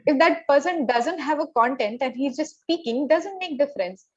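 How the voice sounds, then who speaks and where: A woman's voice: sits high, animated, moderate pace, dry recording, muffled recording. A woman from Northeast India, giving emphatic career advice as an interview guest and often checking in with "okay?". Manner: emphatic, repeats words, tags "okay?". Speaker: guest, corporate professional.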